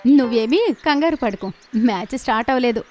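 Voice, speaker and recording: A woman voicing a gentle, reassuring mother who talks to her children in a cartoon. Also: fairly high pitch, fairly quick, soft background music, close to an everyday voice.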